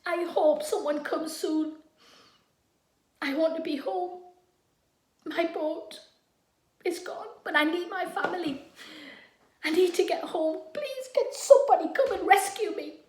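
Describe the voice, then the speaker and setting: A woman's voice: extremely high pitch, unhurried, long pauses, voice pushed up. A woman voicing a scared, stranded girl in a children's story, pleading earnestly to be rescued. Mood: anxious, hopeful. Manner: pleading, earnest, calling out loudly. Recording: echoey room.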